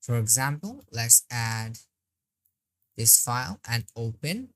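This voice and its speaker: A woman narrating a software tutorial step by step, describing each click as she goes. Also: rumbling low, big pitch swings, takes it slowly, no echo. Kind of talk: screen-recorded tutorial walkthrough.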